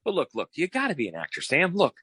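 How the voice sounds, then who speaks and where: An actor playing a cocky, boastful, theatrical private detective in a 1940s style radio comedy, pitching himself in a showy, wheedling way. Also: male voice, wildly swooping pitch, quick pace, fairly bright tone, breathy edge, dry recording, studio quality, exaggerated voice. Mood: eager showing off. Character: cocky, theatrical fast-talking male private detective eager for partnership.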